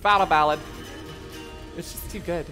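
A game streamer with a voice going hoarse, chatting casually, swearing a lot and reacting loudly to the game. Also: medium pitch, moderate pace, soft background music. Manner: excitable, profane, reacts loudly to gameplay. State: voice getting hoarse. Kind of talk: casual live-stream commentary.

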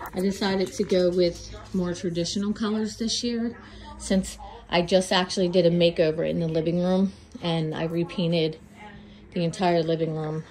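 Congested woman, mellow low voice, chatty vlogger narrating a handheld phone tour of her house. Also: low-quality recording. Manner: chatty, listing where items were bought. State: congested from a cold. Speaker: home vlogger. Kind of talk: casual home tour narration. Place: house interior, walking room to room. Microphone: handheld phone camera.

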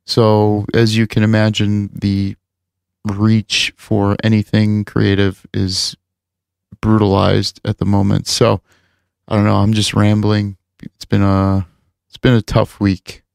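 Podcast host, male, gravelly low voice, loose rambling delivery, close dry mic. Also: completely flat delivery, slow, darkish tone, no echo. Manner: rambling, heavy filler words. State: recovering from lost voice. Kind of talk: casual chat and show wrap-up.

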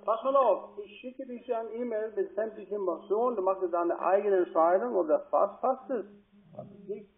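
A man with a foreign accent, a non-native speaker, talking haltingly and repetitively on a sales call. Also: high voice. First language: not German. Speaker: call-centre trader. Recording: tinny narrowband phone line.

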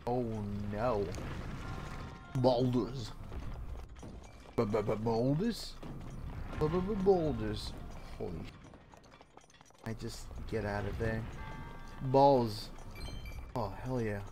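A man drawls his way through a game stream at an unhurried pace, rambling casually and swearing often. Faint game music plays underneath his voice. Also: mid-range voice, expressive, mellow. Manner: laid-back and rambling, swears freely. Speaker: gaming streamer.

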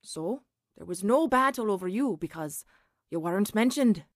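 Lively Scottish aunt. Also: female voice, mid-range voice, normal speed, no echo, clean studio sound. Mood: frank, consoling. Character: kindly, plain-spoken Scottish aunt. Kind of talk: radio drama acting.

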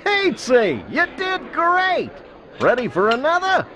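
A man playing a flamboyant, excitable bug restaurant host with theatrical delivery in a children's computer game. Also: extremely high pitch, slow pace, a faint crowd, a little hiss or hum, full character voice. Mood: cheerful, encouraging.

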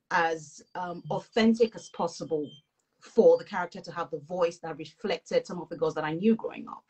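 A woman's voice: fairly low pitch, slightly rough, low-quality recording. A young woman from Nigeria, whose first language is Yoruba, talking modestly and thoughtfully as an author guest on a video call. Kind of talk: casual interview. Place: living room with couch.

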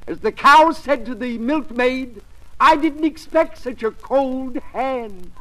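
An actor playing a pompous, cheery music-hall comedian telling gags in old radio comedy. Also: male voice, very high voice, heavy background noise. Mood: jaunty, pompous. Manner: pompous, jaunty, drawn-out punchlines. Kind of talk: 1940s radio comedy acting.

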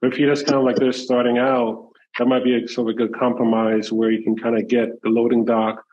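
A man's voice: medium pitch, flat delivery, a little fast, a little mellow, highs cut off, a little hiss or hum. Rambling man sharing a tip on a call. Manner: rambling, explanatory, many fillers. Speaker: call participant. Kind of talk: casual group video call.

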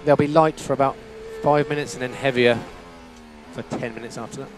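A man's voice: mid-range voice, steady tone, a little fast. Male race engineer on team radio, music behind. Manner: repeats key phrases, matter-of-fact. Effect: team radio transmission. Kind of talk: terse instructions over race radio.

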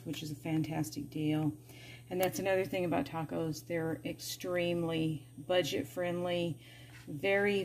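A woman's voice: on the low side, noisy background. A middle-aged woman chatting casually as she cooks dinner in her home kitchen, talking through each step. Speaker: cooking vlogger. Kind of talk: casual cook-with-me narration.

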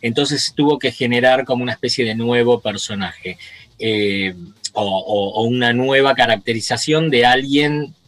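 A man's voice: medium pitch, normal speed, a bit bright, no echo. A man in his fifties with an Argentine accent, hosting a radio and video show and speaking in an upbeat presenter manner from a home study. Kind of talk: interview host, then sign-off to camera.

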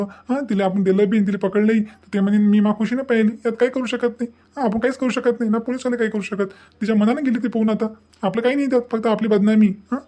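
A man's voice: high-pitched, mellow, close to an everyday voice. A man voicing an indignant, proud village man in a cartoon family drama, insistent and scolding, with a Varhadi Marathi accent. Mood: resigned, frustrated. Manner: insistent, scolding, full of rhetorical questions.

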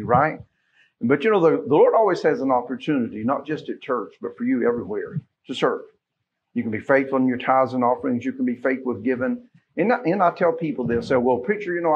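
A middle-aged American man speaks as a pastor at the pulpit, folksy and informal. His voice has a husky, rough edge at an ordinary male pitch. He chats through church announcements and checks in with his listeners, on a somewhat muffled recording. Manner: folksy, conversational, asks listeners questions. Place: small church sanctuary, at pulpit.

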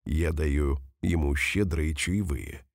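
A man reading example sentences slowly and carefully for language learners, saying each one twice. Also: extremely low pitch, gravelly, no echo, studio quality. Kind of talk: slow repeated phrase reading for learners.

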